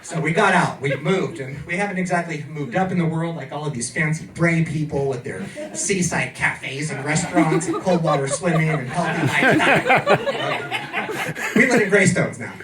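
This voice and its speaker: A fairly high-voiced American man delivers a deadpan, sardonic stand-up set. He sounds a bit off-mic in a roomy bar club. A live crowd is clearly audible behind him. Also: normal speed, distant mic. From California. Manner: deadpan, sardonic, tags lines with "okay?". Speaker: stand-up comedian.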